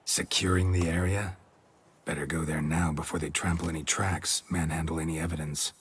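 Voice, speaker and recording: An actor playing a stoic, gruff monster hunter in a video game, with a clipped, deadpan delivery in a gravelly growl. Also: male voice, extremely low pitch, moderate pace, heavily acted. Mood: businesslike. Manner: clipped, deadpan, drops subjects from sentences. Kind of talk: video game cutscene acting.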